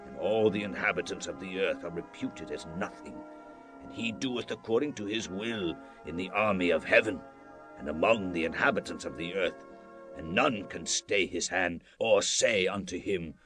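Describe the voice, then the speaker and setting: A man's voice: sits low, normal speed, music in the background, close to an everyday voice. An actor playing a proud, commanding Babylonian king in a solemn scripture dramatization, declaiming in a grand, stately way. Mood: reverent.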